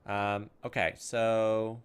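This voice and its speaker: A man doing casual gameplay commentary, thinking aloud in an informal, self-deprecating way and reading game text out loud. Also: lowish voice, little pitch movement, drawn-out words, clean tone. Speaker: gaming video host.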